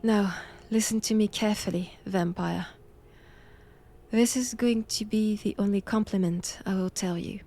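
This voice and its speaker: Woman acting a slow-spoken, imperious French nun. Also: mid-range voice, fairly even intonation, warm tone. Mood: haughty, composed. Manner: slow, deliberate, haughty, often dropping to a whisper. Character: cold, imperious nun examining a captive vampire. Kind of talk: intimate roleplay audio drama.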